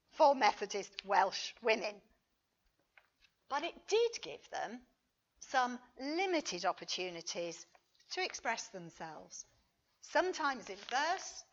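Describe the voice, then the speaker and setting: High-pitched woman lecturer, slow and animated. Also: highs cut off. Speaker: conference speaker reading a paper. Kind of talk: scripted academic lecture.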